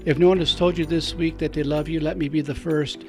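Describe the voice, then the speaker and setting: A man's voice: a little high, fairly even intonation, a little fast, soft background music. A man, a priest who hosts a radio talk show, chatty and joking as he wraps up with thanks and plugs. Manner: chatty, jokey, warm sign-off.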